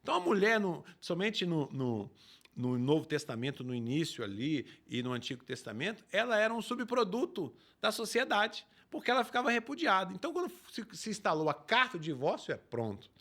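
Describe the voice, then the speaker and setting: A pastor speaking with emphasis, his voice pitched high for a man. His pitch rises and falls widely as he explains scripture to the show's host comes through clearly. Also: a bit bright. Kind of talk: preaching in a talk show.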